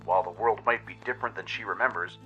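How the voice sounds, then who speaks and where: Casting: male narrator, lowish but very bright, punchy voice, dramatic scripted storytelling with music underneath. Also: fast, muffled recording. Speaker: narrator and radio show host.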